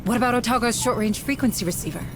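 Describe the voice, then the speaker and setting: An actress playing an earnest, persistent woman deputy administrator of a space station, speaking with urgency over loud game music. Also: fairly high pitch, rapid-fire, close to an everyday voice. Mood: urgent.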